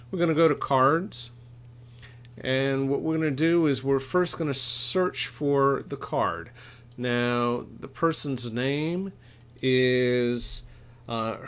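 A middle-aged man narrating a step-by-step software tutorial in an informal, explanatory way, thinking aloud as he goes. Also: medium pitch. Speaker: laundry equipment expert, tutorial presenter.

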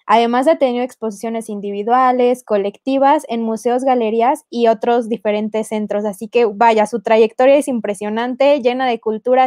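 A woman's voice: a little high, dark tone, no room sound, clean studio sound. A young woman with a Mexican Spanish accent, a host introducing a guest formally over a video call. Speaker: radio host.

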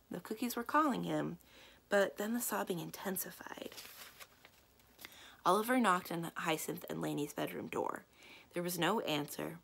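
A young woman reading a children's book aloud as a library storyteller, with measured, expressive narration. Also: on the low side, gravelly, husky. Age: young adult.

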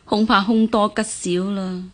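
A woman acting a worried, gentle middle-aged mother of an official household in a Cantonese radio drama, sighing and solicitous. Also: mid-range voice, little pitch movement, unhurried, close to an everyday voice. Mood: anxious.

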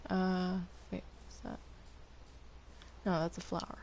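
A woman's voice: on the low side, completely flat delivery, takes it slowly, a little mellow, a little hiss or hum. A woman chatting casually while playing a game, hesitant and stammering, often sounding apologetic. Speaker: gamer playing a multiplayer game.

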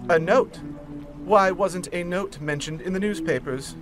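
A man voicing a crafty, nervous riddle-loving villain in a comic fan dub, halting and theatrical. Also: high voice. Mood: uneasy. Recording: music bed behind.

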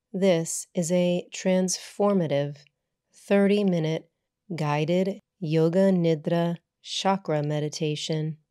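A woman guiding a meditation as a yoga nidra teacher, in a soothing, measured manner with deliberate pauses between short phrases. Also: lowish voice, mellow. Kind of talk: guided meditation narration.

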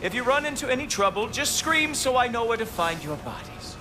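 A man playing a smug, condescending god villain in a video game, drawling sarcastically and haughtily over loud music. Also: very high voice, animated, brisk. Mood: smug, mocking. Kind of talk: video game character acting.

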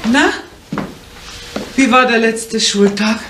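Evasive soothing lady in old 70s radio drama, unhurried, roomy noisy sound. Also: a little high, fairly bright tone. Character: evasive, soothing woman close to a young man. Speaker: radio play actor. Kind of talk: 1970s German radio drama acting. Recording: echoey, noisy 1970s radio play recording.

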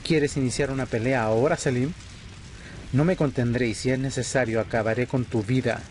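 A man's voice: mid-range voice, fairly even intonation, brisk, a little mellow, a little hiss or hum, close to an everyday voice. Man acting cold, menacing male vampire. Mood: cold, threatening. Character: cold, threatening male vampire, embittered former friend. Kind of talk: amateur Spanish audio roleplay drama.

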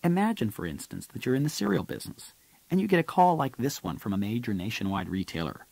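A man's voice: mid-range voice, big pitch swings, quick pace, vocal fry, a little mellow, no echo, poor audio. A man reading his own business audiobook as scripted narration, in an emphatic, explanatory way.

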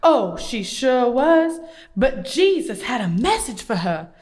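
A woman's voice: a little high, very animated, slow, darkish tone. A woman voicing a friendly, cheerful puppet who tells Bible stories to children in a folksy, encouraging way. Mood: storytelling. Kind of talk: children's puppet show dialogue.

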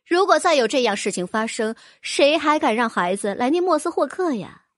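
A woman's voice: high-pitched, expressive, normal speed, a bit bright, dry recording, clean studio sound. A woman voicing a fussy, fawning rich noblewoman who gushes with exclamations. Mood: polite complaint. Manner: gushing, exclamatory, wheedling.

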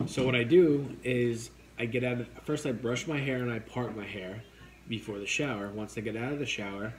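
A man's voice: mid-range voice, steady tone, normal speed. Thirtysomething guy rambling at the dinner table, swears a lot, noisy home stream. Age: 30s. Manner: loose, profane, rambling with restarts. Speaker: co-host of a livestream show. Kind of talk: casual late-night chat with viewers.

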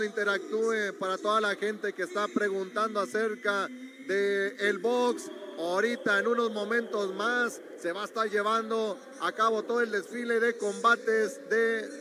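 High-voiced male ringside commentator. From Mexico. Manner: promotional, urging viewers to share. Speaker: wrestling commentator. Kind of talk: live event commentary. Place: university gym arena. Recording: noisy arena.

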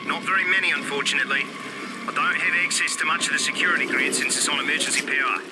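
An actor playing an anxious, urgent insider warning survivors in a video game, speaking bluntly. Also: male voice, fairly high pitch, steady tone, fast, very noisy, natural voice. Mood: urgent, wary. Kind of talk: video game cutscene acting.

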